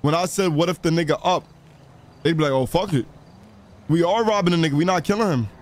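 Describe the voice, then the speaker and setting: A man's voice: fairly high pitch, fast. A man streaming a crime role-play game, chatting casually in slang and often repeating his phrases.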